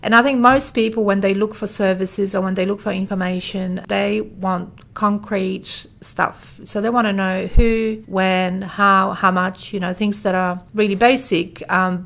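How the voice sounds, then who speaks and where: A woman's voice: mid-range voice, creaky. A woman whose first language is Polish, explaining things plainly in a conversational interview. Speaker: interviewee, translation specialist.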